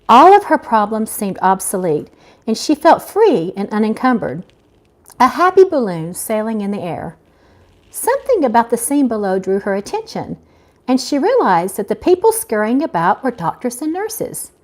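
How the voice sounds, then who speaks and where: A middle-aged woman giving a live, informal reading of her own fiction, expressive and unpolished, as an amateur. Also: a little high, moderate pace. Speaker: author reading own novel aloud. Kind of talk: live amateur audiobook reading with casual asides.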